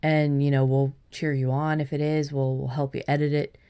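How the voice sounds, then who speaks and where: A woman hosting a writing podcast in casual chat, talking in quick bursts with many restarts and fillers. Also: low voice, flat delivery, dark tone, smooth. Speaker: podcast host.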